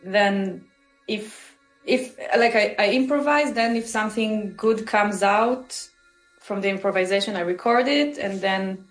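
Woman, thoughtful, unhurried, echoey room, soft music behind. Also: medium pitch. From Israel. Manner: thoughtful, searching for words. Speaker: musician guest. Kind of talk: interview answers. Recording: roomy with faint music.

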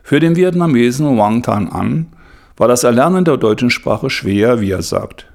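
A male reporter giving a scripted narration with an even, measured delivery. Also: mid-range voice, creaky.

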